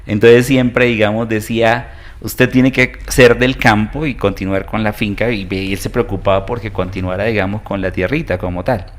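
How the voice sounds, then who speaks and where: A man's voice: fairly low pitch, fairly even intonation, normal speed. A Colombian man in his fifties, an entrepreneur and interview guest, telling his story hesitantly with many fillers. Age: 50s.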